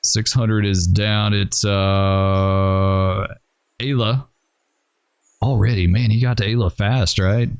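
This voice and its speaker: A man's voice: fairly low pitch, fairly even intonation, takes it slowly, clean tone, dry recording. Man thinking aloud over a train game. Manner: thinking aloud, rambling and hesitant. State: losing his voice. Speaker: gaming channel host. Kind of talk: casual gameplay commentary.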